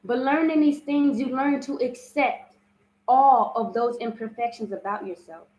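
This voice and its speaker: A woman's voice: a little high, a little slow, a little mellow, roomy sound, rough recording. A woman hosting a live self-love talk, with a strong, big voice, speaking earnestly and often asking "you understand?". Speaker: spiritual self-love host. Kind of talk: live-streamed motivational talk.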